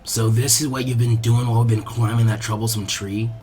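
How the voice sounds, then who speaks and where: A man's voice: mid-range voice, level tone, brisk, a little mellow, faint music behind, pitched below the performer's own voice, close to an everyday voice. A man voicing a laid-back teenage boy ninja in a story reading, with a wry, sardonic manner. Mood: wry, lazy.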